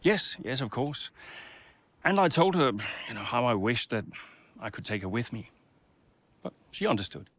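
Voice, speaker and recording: Motorsport team principal: fond, earnest, hesitant, medium-pitched. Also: male voice, very animated, moderate pace, a bit bright. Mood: reflective. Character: earnest, fond male racing mentor turned team principal. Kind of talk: documentary interview and speech.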